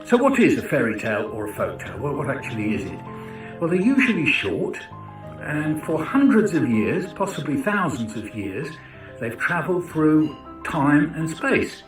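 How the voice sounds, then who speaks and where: A man's voice: a little high, sing-song, normal speed. A man giving an informal educational talk in a conversational, chatty way, often posing questions to his listeners. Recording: roomy, distant mic with music.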